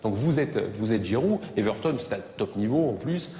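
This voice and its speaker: A man's voice: on the low side, expressive, normal speed, mellow, echoey room, big-room sound. A man with a French accent giving emphatic, repetitive opinions in an informal football debate. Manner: emphatic, repeats phrases for effect. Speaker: football pundit.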